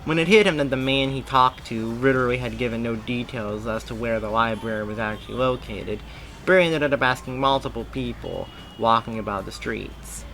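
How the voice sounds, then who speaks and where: A man's voice: mid-range voice, a little fast, fairly bright tone, slight background noise, rough recording. A man reading a story aloud as narrator in an emphatic way, now and then stumbling and rereading a phrase.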